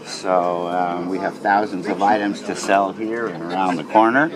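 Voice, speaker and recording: A man giving an informal, welcoming walk-through tour in a halting way, as a guide showing visitors around. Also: sits low, steady tone. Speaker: tour guide showing a radio society museum. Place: walking through museum building during a public event. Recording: echoey rooms with busy crowd chatter. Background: crowd chatter.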